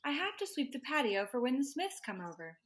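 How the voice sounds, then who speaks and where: A brisk suburban housewife, played by a man in a radio sitcom parody. The voice is very high, and she talks in a practical, bossy, matter-of-fact way. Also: big pitch swings, fairly bright tone, heavily acted. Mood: brisk, nagging.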